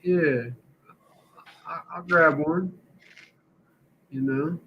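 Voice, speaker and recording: A man's voice: on the high side, fairly even intonation, drawn-out words, lo-fi sound, a little hiss or hum. A man hosting a casual podcast, blunt and profane, who likes to tell corny jokes. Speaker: podcast host.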